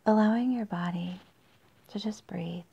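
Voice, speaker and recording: A woman leading a guided meditation in a soft, breathy, husky near-whisper with long pauses, in a dull, muffled recording. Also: mid-range voice.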